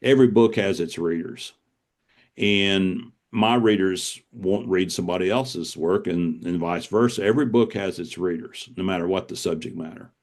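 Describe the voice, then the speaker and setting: A man's voice: low-pitched, moderate pace. An older man speaking as an author being interviewed, earnest and reflective, repeating words for emphasis.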